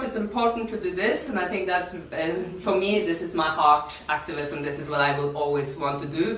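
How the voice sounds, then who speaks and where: A woman from Norway with a Norwegian accent gives an informal workshop talk in non-native English, halting and repeating words. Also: deep voice, fairly bright tone, a little hiss or hum. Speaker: activist workshop speaker. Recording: echoey room, distant mic.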